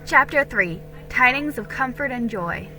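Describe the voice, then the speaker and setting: A woman with a high, very bright voice narrates a children's historical story. She reads dramatically and expressively, taking her time over the sentences. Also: expressive, measured pace, a little hiss or hum, low-quality recording. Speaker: storybook reader.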